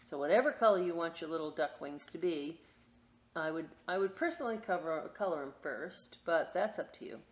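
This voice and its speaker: A woman hosting a children's story time and craft demonstration, chatting to young listeners in a playful, expressive, informal way with asides. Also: deep voice, brisk. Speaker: library story time host reading picture books and showing a craft.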